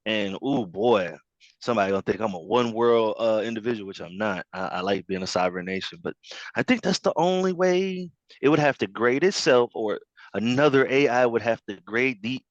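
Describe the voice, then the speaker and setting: Low-voiced man, muffled call audio. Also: fairly quick, no echo. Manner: animated, laughing, trailing off with fillers. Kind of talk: casual group discussion. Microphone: online call.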